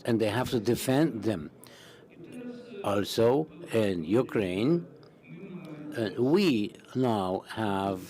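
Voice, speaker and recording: A man interpreting a parliamentary conference into English, halting, with many fillers and restarts. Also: lowish voice, darkish tone.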